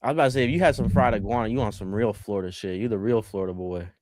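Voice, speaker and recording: A man's voice: lowish voice, very fast, a little mellow, a little hiss or hum. A man co-hosting a sports podcast, joking and teasing his friends in casual banter. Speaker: podcast co-host.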